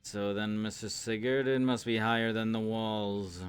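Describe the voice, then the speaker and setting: A formal leader of a monster hunt in a roleplay game, played by a man. His voice is low and smooth, and he chooses his words with courteous, measured pauses. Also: steady tone, close to an everyday voice. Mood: measured, scheming. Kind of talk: improvised roleplay in a virtual-world game.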